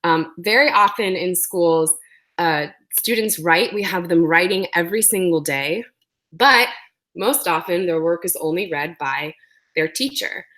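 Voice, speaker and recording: A woman's voice: lowish voice, a little slow, fairly bright tone. A woman presenting an educational webinar, speaking with a sore throat and explaining things with frequent ums.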